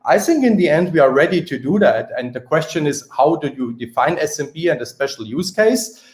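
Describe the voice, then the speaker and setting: A man with a slightly high-pitched voice speaks in a very roomy, echoing recording. He presents as a company representative, pushing his points emphatically and persuasively. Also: lively intonation, a little fast, darkish tone. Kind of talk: sales pitch and question answering.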